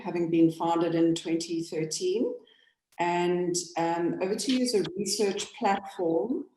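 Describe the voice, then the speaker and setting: A woman co-hosts a business webinar over a video call, talking casually with hesitant fillers. Her voice is lowish and mellow. A roomy echo hangs around it. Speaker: research company founder and co-host.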